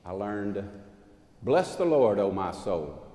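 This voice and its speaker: An older man delivering a sermon with a lively, swooping voice that rises high and drops low. He moves about the chancel and raises his arms as he preaches. The sound is roomy, with a long church reverb, heard from a distance. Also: slow pace. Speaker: pastor. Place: large church sanctuary.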